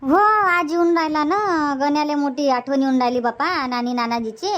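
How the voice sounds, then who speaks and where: Cartoon village auntie played by a woman, high voice, Vidarbha Marathi accent, suspicious gossip with emphatic questioning. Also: steady tone, normal speed, lo-fi sound, close to an everyday voice. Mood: chatty. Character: gossipy village aunt, suspicious, chatty older relative. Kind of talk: cartoon comedy dubbing.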